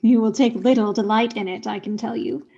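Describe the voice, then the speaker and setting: An imperious ruling duke in a Shakespearean radio play. The voice is fairly high and commanding, heard through slightly dull audio. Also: female voice, steady tone, brisk, gravelly, dark tone.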